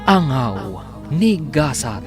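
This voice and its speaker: A man announces a radio drama in a Filipino accent. His scripted narration is animated and mid-pitched, with music playing clearly behind him. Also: extremely slow.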